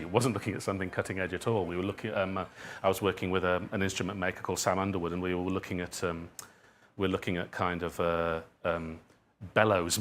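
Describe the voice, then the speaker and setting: A man answering audience questions as a writer at a talk, thinking aloud in a halting, self-deprecating way. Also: deep voice, fairly bright tone, slight background noise. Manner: reflective, halting, hedging with self-deprecating asides. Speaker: guest speaker, writer and dramatist.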